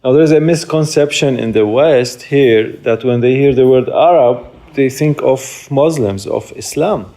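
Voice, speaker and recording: A man's voice: medium pitch, measured pace, hardly any pauses, dark tone. A man in his forties from Jerusalem with an Arabic accent, speaking English as a second language and earnestly explaining his life as a tour guide in an interview. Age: 40s. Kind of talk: conversational interview answers.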